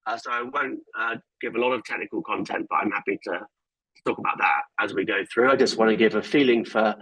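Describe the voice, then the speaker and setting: Male guest lecturer, informal and self-deprecating, slightly husky voice, dry close sound. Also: fairly low pitch, fairly quick, a bit bright, no echo, low-quality recording. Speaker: guest speaker. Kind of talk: academic talk on a video call. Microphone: computer mic on a video call.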